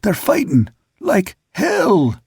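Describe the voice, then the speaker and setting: A man voicing a rough, excitable sailor in a sea-story audiobook, shouting breathlessly with dropped letters. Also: high-pitched, slow, dry recording, studio quality, voice pushed up, full character voice. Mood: breathless, urgent. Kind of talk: audiobook character voice.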